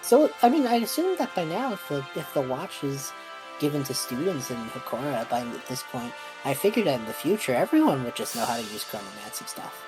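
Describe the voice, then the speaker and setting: Young woman playing college-age male stowaway, tabletop roleplay. Very low-pitched, rambling, apologetic, music behind. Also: very animated, fairly quick, rough recording. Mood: apologetic, reassuring. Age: young adult.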